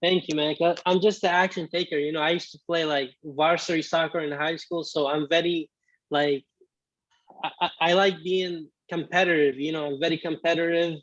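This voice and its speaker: A man in his twenties, born in Iraq with Arabic as his first language, telling his story as a guest in a casual interview, halting with many restarts. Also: a little high, steady tone, a bit bright, dry recording, muffled recording. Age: 20s. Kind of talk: casual video-call interview.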